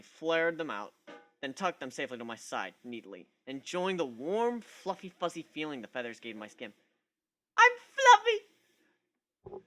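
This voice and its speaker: A man's voice: high-pitched, fairly bright tone. A man giving a sarcastic, theatrical first-person dramatic reading of a story. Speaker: narrator.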